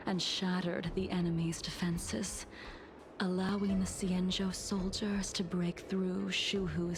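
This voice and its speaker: An actress playing a solemn, grieving veteran swordswoman in a video game, with a soft, breathy, restrained delivery. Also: medium pitch, background noise. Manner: slow, measured, grave. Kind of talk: English-dubbed video game story acting.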